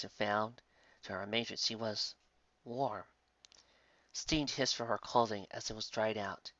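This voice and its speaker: Bright, forceful male story narrator. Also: lowish voice, no echo, muffled recording. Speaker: fan fiction reader. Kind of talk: dramatic scripted story narration.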